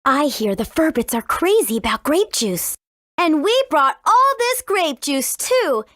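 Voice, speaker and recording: High-pitched, cheeky teenage heroine. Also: female voice, very animated, unhurried, fairly bright tone, no room sound, clean studio sound, heavily acted. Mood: teasing, sly. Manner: breezy, persuasive, teasing. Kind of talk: video game character acting.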